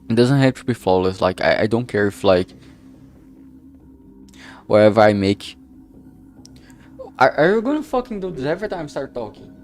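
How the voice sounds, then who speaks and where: A man chatting casually on a livestream in a rambling, halting way, swearing now and then, speaking into a filtered streaming microphone. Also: on the low side, darkish tone. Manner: rambling, halting, often losing his thread. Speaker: streamer and amateur game writer. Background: background music.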